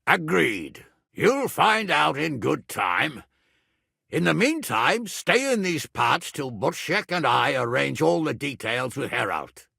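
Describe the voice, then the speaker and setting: An actor playing a blustering, irascible middle-aged medieval nobleman in a video game, with a gravelly, raspy voice and a gruff, commanding manner. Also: a little high, sing-song, takes it slowly, pushed and bright, no room sound, studio quality, full character voice. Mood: gruff, businesslike. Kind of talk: dramatic video game character dialogue.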